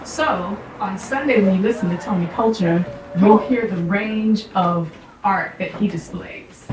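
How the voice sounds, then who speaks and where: A woman's voice: mid-range voice, measured pace. Middle-aged American woman speaking in echoey room. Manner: emphatic, instructive, checks in with listeners. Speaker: speaker, sociology professor giving a presentation. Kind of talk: informal public talk to a community audience. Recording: roomy, distant microphone with faint background noise.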